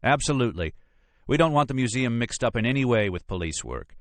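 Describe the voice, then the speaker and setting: A man's voice: medium pitch, very animated, brisk, dry recording, pitched above the performer's own voice, natural voice. A man voicing an irritable, self-important museum lawyer in an audiobook, brusque, pompous and dismissive. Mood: firm, impatient. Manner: emphatic, pompous, impatient. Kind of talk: audiobook character dialogue.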